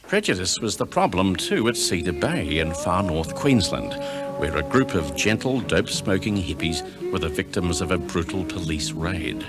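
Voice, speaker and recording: An Australian man narrates a scripted documentary tribute. His voice sits low and moves expressively in pitch, with music clearly behind it.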